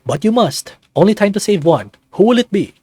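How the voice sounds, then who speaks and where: Man playing an ominous, high-pitched nightmare announcer. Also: very animated, brisk, warm tone, slightly rough, no room sound, full character voice. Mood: menacing, taunting.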